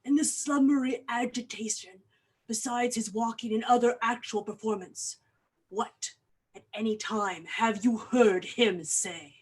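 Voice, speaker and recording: A woman playing a troubled, watchful doctor in a grave, dramatic Shakespearean reading, husky and at times hushed. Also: a little high, a little slow, low-quality recording. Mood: probing. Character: troubled, watchful doctor observing a sleepwalking patient. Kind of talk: Shakespearean acting in an online staged reading.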